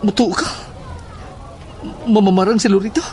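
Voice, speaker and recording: A man's voice: sits high, fairly even intonation, normal speed, mellow, a little hiss or hum, lightly acted. A man with a Cebuano accent playing a troubled schoolboy who confides in horror audio drama dialogue. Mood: uneasy.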